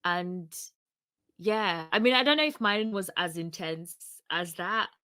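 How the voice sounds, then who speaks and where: A woman's voice: on the low side, slow pace, pushed and bright, smooth, no echo, studio quality. A young woman from Uganda with a British accent, a podcast co-host musing in casual chat with frequent restarts. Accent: British English. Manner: reflective, rambling with restarts.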